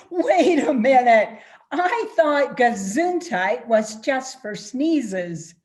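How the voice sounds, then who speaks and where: A woman acts as a young, skeptical bar customer in a radio-style drama. The voice is high and swoops widely, with slow, exclamatory, incredulous phrasing. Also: animated, darkish tone, heavily acted. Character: young Billy, skeptical, excitable bar patron. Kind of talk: radio-play style readers theatre acting.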